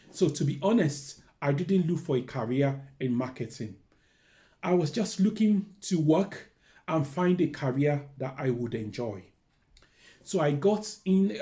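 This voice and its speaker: A man's voice: fairly high pitch, warm tone. A middle-aged man with a Nigerian accent, a careers adviser earnestly telling his own career story and giving advice. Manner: earnest, candid, repeats phrases like "to be honest".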